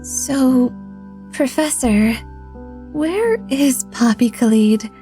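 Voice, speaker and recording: Girl voicing innocent fire genasi in a fantasy story, super slow n high, mellow, polite and kinda hesitant. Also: animated, soft background music, full character voice. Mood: earnest. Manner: hesitant, polite, soft-spoken. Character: sweet, innocent, stoic young fire genasi woman. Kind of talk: fantasy audio drama acting.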